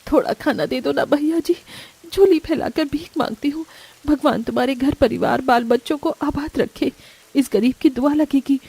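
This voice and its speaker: A woman playing a poor, desperate, loving mother in a melodramatic animated story, pleading and plaintive. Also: sits high, normal speed, gravelly, dry recording. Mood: begging.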